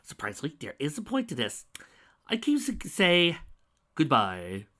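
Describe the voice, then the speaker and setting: Guy doing a crazy giggly evil alicorn, high and loud, super theatrical. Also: wildly swooping pitch, a little slow, exaggerated voice. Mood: mocking. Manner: cackling, manic, theatrical. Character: insane gleeful male villain alicorn pony, bloodthirsty.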